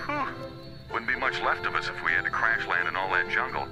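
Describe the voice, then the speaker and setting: A sardonic smuggler turned rebel captain in a space radio play. His voice is on the low side but swings about expressively, wry and grumbling, over loud music. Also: expressive, brisk, poor audio. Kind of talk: space adventure audio drama acting.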